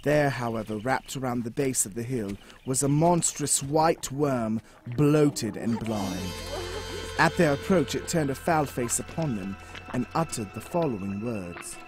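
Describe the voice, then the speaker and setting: A man's voice: medium pitch, lively intonation, a little slow. A man giving dramatic scripted narration of a fairy tale for an audio drama. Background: music.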